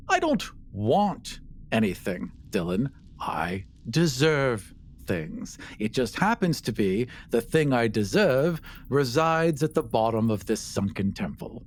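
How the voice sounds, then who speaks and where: A man playing an entitled, self-important adventurer in a tabletop game, speaking in a deliberate, grandiose, pompous way. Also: medium pitch, a little hiss or hum. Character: entitled, self-important adventurer who believes he deserves power.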